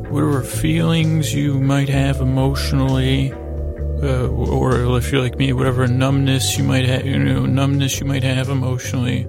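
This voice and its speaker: A man hosting a bedtime podcast in a halting, rambling, easily distracted way, meant to lull listeners to sleep. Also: mid-range voice, gravelly. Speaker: podcast host. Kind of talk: rambling bedtime monologue to help listeners fall asleep.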